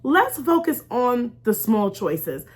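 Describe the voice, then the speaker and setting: A woman hosting an informal faith talk in an animated, conversational way, stressing and repeating key phrases and acting out imagined remarks. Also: on the high side, takes it slowly, husky. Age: adult with young children. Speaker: host of a faith storytime show.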